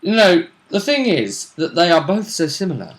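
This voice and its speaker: A man's voice: high voice, very animated, moderate pace. Man thinking aloud in casual discussion. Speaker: host of a tabletop role-play vidcast. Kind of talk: casual discussion of a fantasy tournament.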